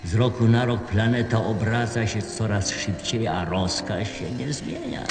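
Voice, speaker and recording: A man's voice: on the low side, expressive, measured pace. Man as a weary lamplighter in an echoey hall. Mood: weary, complaining. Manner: weary, complaining. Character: weary, dutiful, resigned lamplighter. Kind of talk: Polish radio play acting. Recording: echoey hall, music behind.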